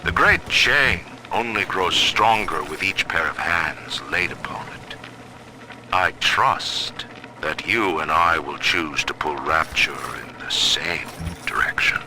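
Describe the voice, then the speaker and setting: A man's voice: low-pitched, animated, takes it slowly, background noise. A man playing a stern, proud middle-aged tycoon who rules his own city in a video game, speaking his audio diaries in pompous, menacing, formal oratory. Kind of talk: dramatic recorded diary monologue, formal oratory.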